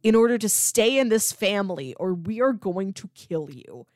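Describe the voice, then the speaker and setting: A woman co-host talks in an informal, conversational style with lively, swinging intonation. Her pitch sits in a middle range for a woman and moves around a lot. The sound is dry, with hardly any room on it. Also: moderate pace, no room sound. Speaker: podcast co-host. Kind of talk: casual chat, pitching movies.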